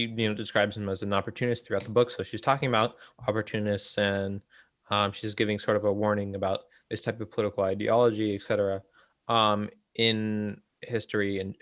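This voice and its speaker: A young man with a fairly low voice, recorded dry and close. He talks casually about political theory as a co-host, hedging and feeling his way through each thought. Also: no room sound. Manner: halting, hedging, thinking aloud. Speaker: co-host of a book read-along series.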